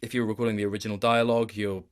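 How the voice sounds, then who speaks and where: Low-pitched man chatting. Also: level tone, racing, clean tone. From Wales. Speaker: interview guest, voice actor. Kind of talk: casual interview chat.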